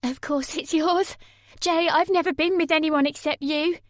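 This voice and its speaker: A frightened young woman, as a female narrator puts her on, pleads in a high, tearful, cracking voice. Each word comes out desperate and urgent. The sound is close and dry, with no room around it. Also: steady tone, moderate pace, no echo, close to an everyday voice.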